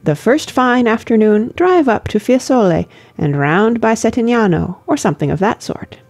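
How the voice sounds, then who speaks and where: A woman voicing a genial clergyman, slightly creaky. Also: a little high, lively intonation, moderate pace, darkish tone, no echo, pitched above the performer's own voice. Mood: helpful, advising. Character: pleasant, courteous male clergyman, sociable and advising.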